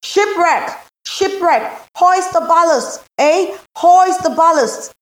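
A panicked crewman on an old sailing ship acted by a woman narrator bellows orders in an audio story. The cries are very high and drawn out, full of alarm and command. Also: slow pace, roomy sound, low-quality recording.

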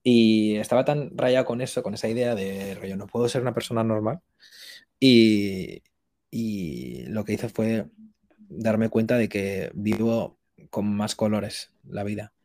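A young man with a slightly low voice talks casually as a guest in an interview. He thinks aloud in a halting, colloquial way, often rewording his phrases as he goes. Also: a little mellow, dry recording, clean studio sound. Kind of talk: casual chat.

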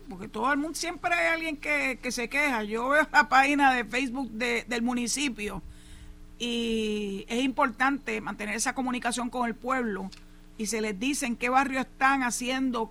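Puerto rican lady doing emphatic news commentary, bright sharp voice, tosses in english asides. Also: a little high. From Puerto Rico. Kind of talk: opinion commentary on local news.

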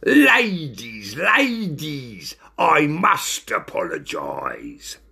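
A man voicing a gruff, stern bulldog shop owner in a storybook, growling and blustering. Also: fairly high pitch, wildly swooping pitch, very slow, sharp tone, voice pushed up, full character voice. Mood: flustered, apologetic.

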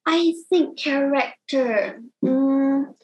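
A woman of twenty from Malaysia, a Cantonese native speaking English, answering interview questions in a soft, hesitant way with frequent ums. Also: high-pitched, drawn-out words, dull audio. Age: 20s. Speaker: singer guest.

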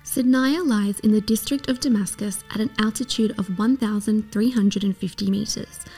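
Husky woman narrating a documentary. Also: medium pitch, normal speed, mellow, soft background music.

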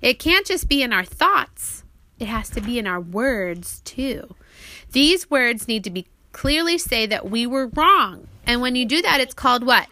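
A woman narrating an audiobook she wrote, pausing to prompt and question as she teaches a child. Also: on the high side, big pitch swings, sharp tone. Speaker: author reading own book aloud and parent.

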